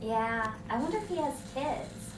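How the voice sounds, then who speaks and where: A woman's voice: fairly high pitch, expressive, normal speed, lightly acted. A woman voicing a cheerful, chatty small-town schoolgirl in a story reading. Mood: playful, amused. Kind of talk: story reading with character dialogue. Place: hotel room recording session. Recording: echoey hotel room, distant mic, noisy.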